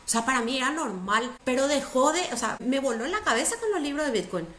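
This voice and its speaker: A woman's voice: high voice, very animated, quick pace, a bit bright, slight background noise. Emphatic woman in an echoey room. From Paraguay. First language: Spanish. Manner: earnest, emphatic, repeats herself for stress. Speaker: guest. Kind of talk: conversational interview.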